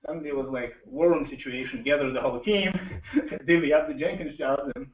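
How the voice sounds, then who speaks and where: A man hosts a live meetup in a loose, joking way, often pausing mid-sentence. His voice sits at a middle pitch, heard from a little way off in an echoey room. The sound is thin and narrow, with faint noise behind it. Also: distant mic. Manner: joking, halting, asks the audience questions. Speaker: meetup host introducing speakers. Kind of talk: informal live presentation to an audience.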